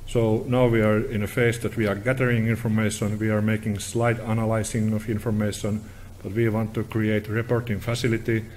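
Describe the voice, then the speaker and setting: A middle-aged Finnish man with a Finnish accent giving a conference presentation in halting, informal English at a podium. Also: fairly low pitch, darkish tone, a little hiss or hum. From Finland. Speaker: technology director presenting at a conference. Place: indoor conference hall.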